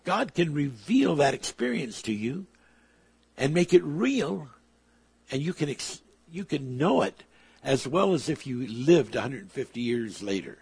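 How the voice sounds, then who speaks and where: A man's voice: fairly high pitch, big pitch swings, a little slow, a little mellow, no echo. A man preaching a sermon, emphatic, with his volume swelling and dropping. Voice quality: gravelly, husky.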